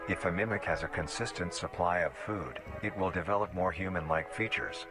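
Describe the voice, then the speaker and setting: A man narrates an analog horror documentary in a deep voice. He is formal, measured and clinical, and music plays clearly behind him. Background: background music.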